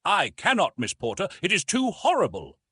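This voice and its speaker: Man playing a weary, earnest young gentleman, lively pitch. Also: moderate pace, husky, no echo, clean studio sound, heavily acted. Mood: sorrowful. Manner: earnest, formal and courteous. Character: weary young English gentleman, sorrowful and rueful.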